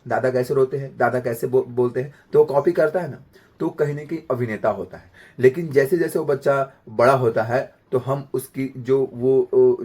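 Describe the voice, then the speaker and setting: A man with a slightly husky voice, giving an informal acting lesson in an emphatic way, repeating phrases and checking in with rhetorical questions. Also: medium pitch, a little mellow. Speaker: acting coach.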